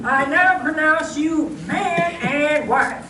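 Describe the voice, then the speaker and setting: Casting: actress as officious frontier justice, high slow voice, comic radio play. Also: female voice, a crowd in the background. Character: fussy justice of the peace at a comic wedding. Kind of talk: comic old-time radio acting. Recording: distant, echoey, noisy live stage recording.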